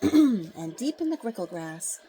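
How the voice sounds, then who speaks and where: A woman reading a rhyming children's storybook aloud, with dramatic, deliberate phrasing. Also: a little high, sing-song, dark tone, slight background noise, poor audio. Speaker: storybook reader. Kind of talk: expressive children's storybook read-aloud in rhyme.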